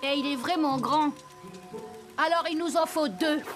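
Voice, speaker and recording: A woman voicing a clever, practical young kid in an animated series dub, explaining things matter-of-factly. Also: sits high, unhurried, music in the background.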